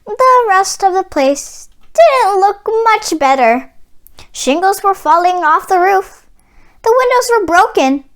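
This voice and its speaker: Woman, children's read-aloud narration, high-pitched, lively intonation, expressive, storybook reader. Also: slow pace.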